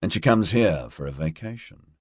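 A man's voice: deep voice, lively intonation, moderate pace, breathy edge, no room sound, studio quality. A man voicing a confident, casual male investigator in an audiobook, teasing yet polite. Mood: teasing, debating.

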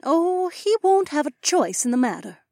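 A bossy, fussing young woman with an elegant accent scolds and orders in a high, lively voice, performed by a man. Her voice leaps around as she insists. The sound is dry and close. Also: normal speed, creaky, no echo, full character voice. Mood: scolding. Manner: commanding, scolding.

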